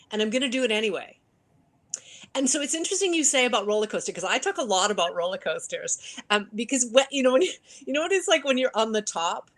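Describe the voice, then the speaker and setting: A woman coach speaking in an interview over a video call, animated and laughing, with many restarts. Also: on the high side. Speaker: business coach. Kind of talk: interview conversation.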